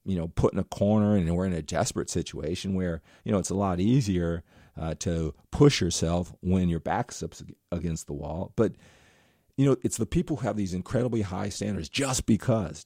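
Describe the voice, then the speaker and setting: A man's voice: sits low, gravelly, warm tone, dry recording, clean studio sound. A middle-aged man talking straight to his viewers in a rambling, earnest motivational monologue. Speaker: motivational vlogger.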